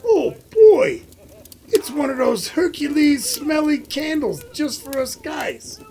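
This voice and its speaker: An actor playing a hearty, folksy man as Santa in an old-time radio comedy, with a gravelly voice. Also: very high voice, animated, slow, a little mellow, full character voice. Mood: excited.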